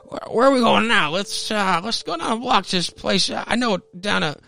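A man's voice: sits high, lively intonation, fairly quick, vocal fry, pushed and bright, voice pushed up, exaggerated voice. A man playing a happy, dim drunk husband in a radio-play story, slurring and stammering his words. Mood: drunken glee.

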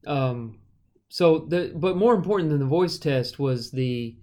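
A man with a thin, reedy voice, co-hosting a podcast and explaining Bible passages in a thoughtful, unhurried way, with frequent fillers. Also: on the high side, mellow. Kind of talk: casual teaching conversation.

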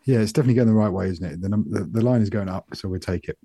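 Soft-spoken deep-voiced British man. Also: racing, breathy edge, dry recording. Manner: soft-spoken, relaxed and rambling. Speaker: podcast co-host. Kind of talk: casual chat.

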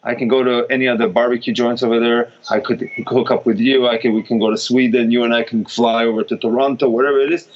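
A man from Israel telling lively stories in casual chat, restarting often and jumping up in pitch when excited. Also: fast talker, few pauses, a little hiss or hum. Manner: animated storyteller, many restarts, pitch jumps when excited. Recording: dull lo-fi sound.

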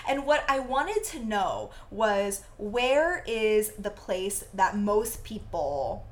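A young woman whose first language is Vietnamese, co-hosting a podcast and telling a story in a giggly, animated way. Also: mid-range voice, slow. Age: young adult. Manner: giggly, animated, laughs often. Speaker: podcast co-host. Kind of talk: casual storytelling chat.

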